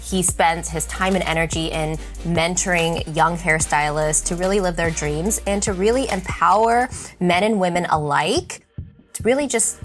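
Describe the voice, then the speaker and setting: A woman's voice: lowish voice, a bit bright. A woman hosting a podcast as a doctor, introducing and interviewing guests in casual, conversational wording. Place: virtual recording for a podcast.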